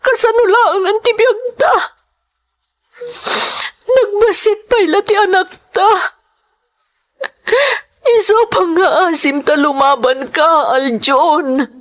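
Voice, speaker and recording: A woman's voice: extremely high pitch, slow, pauses often. A woman acting a burdened young wife and mother in an Ilocano melodrama, earnest and sorrowful, with an Ilocano accent. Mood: tearful pleading. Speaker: drama lead and narrator.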